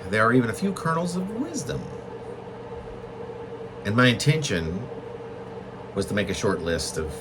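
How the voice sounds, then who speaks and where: Guy w deep voice reading his poem, halting n deliberate but very up and down, noisy hum behind him. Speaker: poet reading own work. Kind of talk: literary poetry reading, reflective monologue.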